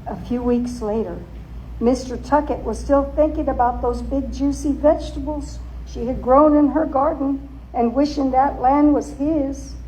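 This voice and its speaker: Woman, dramatic storytelling, high mellow voice, suspenseful emphasis, faint background noise. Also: fairly even intonation, rough recording. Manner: theatrical, suspenseful, deliberate emphasis. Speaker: author and storyteller.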